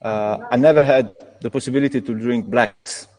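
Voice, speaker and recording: A man's voice: medium pitch, fairly even intonation, a little mellow. Guy talking beer in english, lots of ums and restarts, echoey reverb. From Italy. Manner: halting, many restarts and fillers. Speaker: craft brewer, guest. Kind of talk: casual video call chat.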